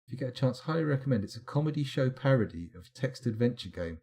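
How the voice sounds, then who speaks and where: A man's voice: mid-range voice, animated, fast talker, mellow. A man, chatting and rambling while he streams a game late at night and answers his chat, sounding tired. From England. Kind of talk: casual live-stream chat.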